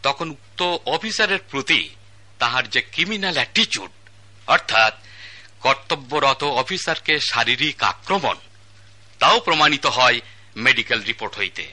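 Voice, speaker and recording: An actor playing a stern magistrate who reads out the charges and the sentence in formal, old-fashioned court language. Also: male voice, mid-range voice, sing-song, normal speed, pushed and bright. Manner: formal, archaic court diction, calls order. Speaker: judge. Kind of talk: Bangladeshi state radio drama acting.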